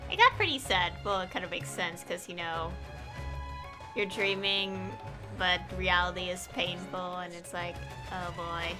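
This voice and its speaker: A woman's voice: on the low side, measured pace. A young woman streaming a game, chatting casually and rambling with many fillers and little laughs. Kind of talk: casual gameplay commentary. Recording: game music playing behind.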